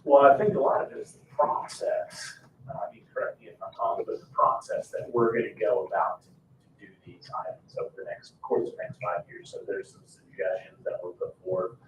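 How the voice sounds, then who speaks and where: American man, very low voice, tentative commissioner answering a question, distant from the mic with room echo. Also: brisk. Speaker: advisory commission member. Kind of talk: unscripted explanation at a meeting. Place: indoor public meeting room. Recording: echoey room, distant mic, faint noise.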